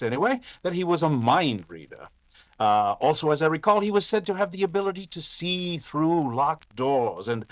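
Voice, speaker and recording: An actor playing a confident, dismissive veteran detective in the manner of 1940s radio drama. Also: male voice, fairly high pitch, dull audio. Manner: brisk, dismissive, assured. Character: confident, dismissive veteran English detective, dryly amused and unflappable.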